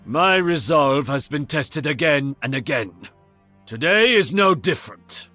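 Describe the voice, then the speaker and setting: An actor playing a proud, stern master archer in a video game, with a Japanese accent and a formal, haughty delivery. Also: male voice, fairly high pitch, animated, unhurried, sharp tone, faint music behind. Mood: haughty, resolute. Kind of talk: video game character voice lines.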